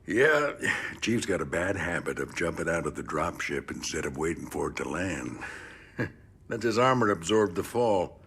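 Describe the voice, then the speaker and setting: An actor playing a gritty, middle-aged decorated military trainer in an audio drama, gruff and plain-spoken with emphatic, measured praise. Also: male voice, sits low, big pitch swings, a bit bright, a little hiss or hum, heavily acted. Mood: wry, amused. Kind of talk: audio drama interview acting.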